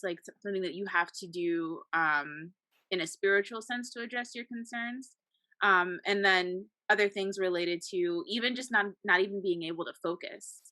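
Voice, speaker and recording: A woman guest from the United States, a psychology doctoral student, speaking in an articulate, measured way with occasional fillers. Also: on the low side, pushed and bright, no echo. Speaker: guest, psychology PhD candidate. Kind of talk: casual panel conversation.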